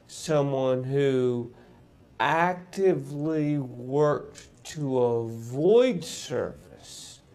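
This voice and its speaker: A man giving political commentary on television in a measured, deliberate way. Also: mid-range voice, darkish tone, smooth.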